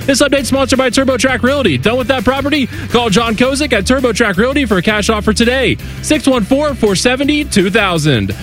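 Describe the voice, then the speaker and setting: A man reading a scripted radio sports update and sponsor ad in a brisk announcer manner. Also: extremely high pitch, expressive, fairly bright tone, faint music behind. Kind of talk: scripted news read and ad.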